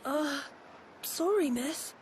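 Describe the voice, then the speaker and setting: A woman's voice: high voice, animated, very slow, darkish tone, slight background noise, heavily acted. A woman voicing an eager, bookish young boy in a video game, chirpy and informal. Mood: sheepish, explaining. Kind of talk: video game cutscene acting.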